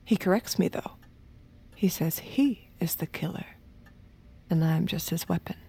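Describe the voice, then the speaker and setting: An actress playing a seductive, submissive killer who confides in the listener in a hushed, intimate manner for an audio drama. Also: female voice, on the low side, measured pace, vocal fry, breathy edge, heavily acted. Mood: calm, confiding. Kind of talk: intimate first-person narration and acted dialogue in audio drama.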